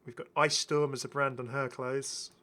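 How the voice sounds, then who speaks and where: A man in middle age hosts a gaming video in a medium-pitched voice. He talks casually and with enthusiasm, circling back to repeat phrases as he comments on what he is showing. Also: flat delivery, moderate pace, sharp tone. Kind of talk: casual game skin showcase commentary.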